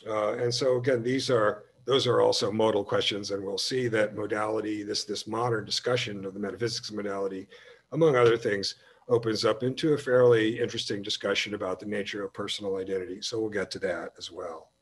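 A man's voice: lowish voice, steady tone, a little fast, a little hiss or hum. A man giving a recorded slide lecture on philosophy, thinking aloud and often restarting his sentences. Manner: thinking aloud with frequent restarts and repeated words. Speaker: humanities lecturer.

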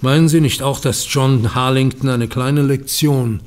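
An actor playing an aggrieved, slyly vengeful older banker in an audio drama, speaking with sardonic, deliberate emphasis. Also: male voice, medium pitch, fairly even intonation, a little mellow, close to an everyday voice. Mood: bitter, indignant. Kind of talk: German audio drama acting.

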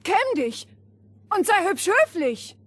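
High-voiced, fussing mother. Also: very animated, slow, no echo, full character voice. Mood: affectionate. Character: homely, affectionate, fussing mother. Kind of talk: radio play fairy tale acting.

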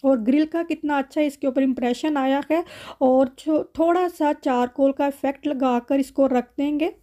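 A woman's voice: sits high, steady tone, moderate pace, warm tone. A woman with a Pakistani accent narrating home cooking in a conversational recipe voice-over, as a vlogger. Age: adult mother.

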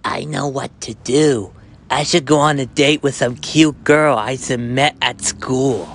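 Chipoko, a man doing a gravelly, lovesick frog. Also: medium pitch, steady tone. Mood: lovestruck. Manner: whiny, quick to threaten, then sheepish. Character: hot-tempered, clingy young male frog. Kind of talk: homemade skit.

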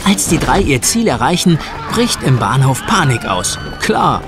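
A man narrating a scripted children's audio drama in an expressive storytelling manner. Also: medium pitch, measured pace, over music.

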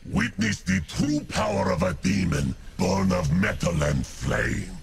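Gravelly, grandiose fire demon. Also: male voice, deep voice, huge pitch swings, slight background noise, heavily acted. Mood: roaring. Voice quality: gravelly, growling. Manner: grandiose, contemptuous, slow menacing delivery. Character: menacing male fire demon of metal and flame. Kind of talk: video game character voice lines.

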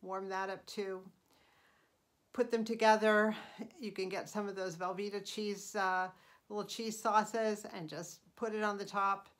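Chatty middle-aged woman, relaxed vlog talk. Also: medium pitch. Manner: chatty, unhurried, frequent uh and um, light laughs. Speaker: channel host. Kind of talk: casual vlog voice-over.

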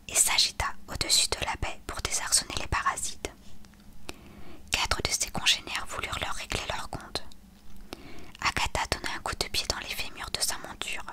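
A woman reading a story aloud as scripted narration, expressive and hushed. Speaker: audiobook reader.

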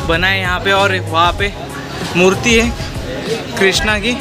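A man's voice: high voice, slow pace, over music, a crowd in the background. A young man talking casually to his viewers while climbing a hillside trail. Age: young adult. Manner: chatty, addresses viewers directly. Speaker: vlogger. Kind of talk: casual travel vlog.

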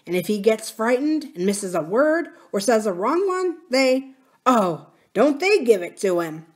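A woman's voice: a little high, sing-song, a little slow, pitched above the performer's own voice. A woman voicing a confident, cheerful twelve-year-old miner boy who talks bluntly and fearlessly in a storybook reading. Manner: plain-spoken, matter-of-fact, self-assured.

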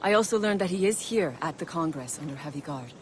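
An actor playing an assassin ally who gives a brisk, businesslike briefing. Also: mid-range voice, lively intonation, a little hiss or hum, lightly acted.

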